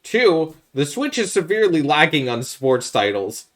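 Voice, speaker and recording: A man's voice: on the high side, big pitch swings, moderate pace. A man hosting a casual gaming podcast, explaining his points step by step. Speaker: podcast host.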